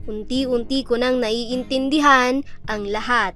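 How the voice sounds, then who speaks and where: A woman's voice: on the high side, fairly even intonation, takes it slowly, a bit bright, soft background music. A woman playing a young female student telling her love story in an earnest, poetic radio drama narration. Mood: tender, reflective.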